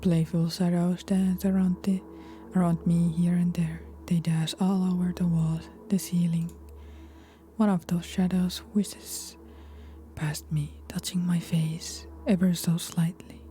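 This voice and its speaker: A woman records a let's play, mixing casual commentary with reading game text aloud. Her voice sits a little low and has a mellow, dark tone. She speaks softly, often slipping toward a whisper, over faint game music. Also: level tone, unhurried. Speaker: video game streamer.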